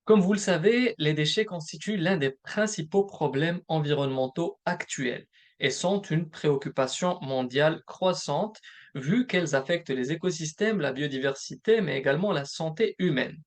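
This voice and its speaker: A man presenting a recorded webinar as a program manager, explaining points one by one in a measured way. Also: fairly high pitch. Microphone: recorded presentation voice-over.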